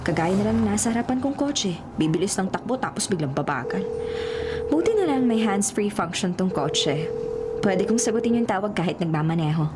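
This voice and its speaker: A woman's voice: medium pitch, big pitch swings, brisk, heavy background noise, lightly acted. An actress playing a sweet, caring young college woman with a Filipino accent in a romantic radio drama. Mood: cheerful musing. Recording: music under the voice.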